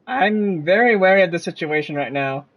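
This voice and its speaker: A man's voice: high voice, lively intonation, moderate pace, dull audio. A man giving casual commentary as he streams a game.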